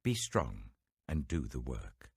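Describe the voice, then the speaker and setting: A man's voice: a bass voice, big pitch swings, slow pace, husky, no echo, clean studio sound, pitched below the performer's own voice, full character voice. A British man voicing an aged, devout Israelite king who gives a solemn, weighty, fatherly address in a scripture audiobook. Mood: grave, exhorting.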